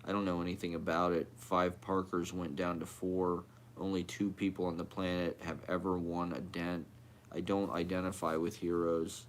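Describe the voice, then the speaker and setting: A man's voice: low voice, flat delivery, normal speed, slight background noise. A man reading poetry aloud as a poet, in short clipped fragments delivered one after another.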